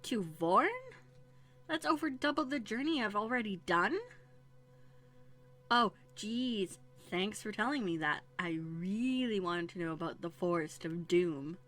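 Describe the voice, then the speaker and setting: A woman's voice: medium pitch, lively intonation, unhurried. A woman playing a young runaway princess in a roleplay audio drama, playful and plucky, with a conversational, teasing manner. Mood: dismayed, sarcastic. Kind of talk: scripted one-sided roleplay audio drama. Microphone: close USB condenser microphone. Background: soft music.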